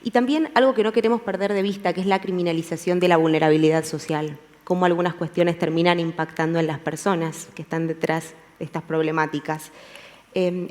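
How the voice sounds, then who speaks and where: A woman's voice: lowish voice, a little mellow. A woman moderating a panel debate. She introduces the topics in an orderly way, with a few fillers. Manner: structured, listing topics, some fillers. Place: conference hall. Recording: long hall reverb.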